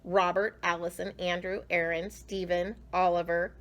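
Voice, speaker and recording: A woman reading a picture book aloud to children, expressively, with drawn-out emphasis. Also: fairly low pitch, little pitch movement, muffled recording. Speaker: children's storyteller.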